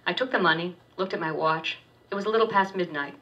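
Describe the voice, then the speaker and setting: Woman author, live fiction reading, wry and steady, bright tone, dull distant recording. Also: fairly low pitch, quick pace. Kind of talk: literary reading of first-person fiction. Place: public reading at a university poetry center.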